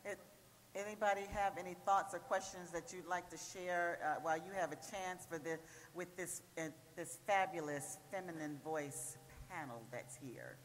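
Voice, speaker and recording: A woman moderating a church panel, playful and quick with amens and jokes. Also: on the low side. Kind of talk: moderating with affirmations. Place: large church hall. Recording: roomy hall with faint hum.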